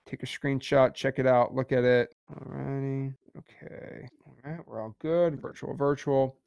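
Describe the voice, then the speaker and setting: A young man teaching a casual livestream course with energetic, repetitive pep talk. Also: mid-range voice, measured pace, gravelly, clear, resonant tone, dry recording, muffled recording.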